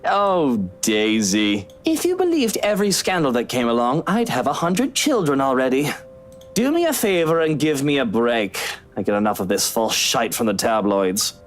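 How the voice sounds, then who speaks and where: An actor voicing a sullen, sarcastic, foul-mouthed British husband in a visual novel, with a grumbling manner. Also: male voice, mid-range voice, very animated, normal speed, close to an everyday voice. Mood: exasperated. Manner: sardonic, grumbling.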